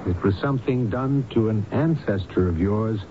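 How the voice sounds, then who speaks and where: A calm, authoritative male doctor played by an actor in a radio drama, with a lowish voice and measured, reassuring delivery. The recording is dull, with clear noise and rumble behind him. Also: lightly acted. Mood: reassuring, explanatory. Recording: dull, noisy vintage radio broadcast with rumble.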